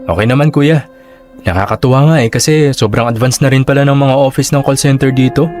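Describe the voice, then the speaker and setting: A man's voice: mid-range voice, steady tone, brisk, a little mellow, faint music behind, natural voice. A man playing a pushy, practical younger brother. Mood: coaxing. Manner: persistent, coaxing, practical. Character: younger brother working abroad in a call center. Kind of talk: radio drama acting.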